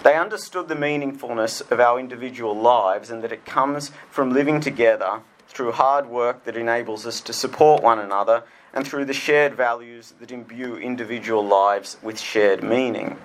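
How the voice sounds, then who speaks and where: An earnest man delivers a prepared speech in a reflective, steady voice of middling pitch. He reads as a memoir author, sincere and thoughtful. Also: normal speed, few pauses, low-quality recording.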